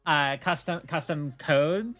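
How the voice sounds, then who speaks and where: A woman's voice: low-pitched, slow, fairly bright tone. A woman chatting casually on a livestream, often stammering and repeating words as she explains things. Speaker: streamer and game server admin.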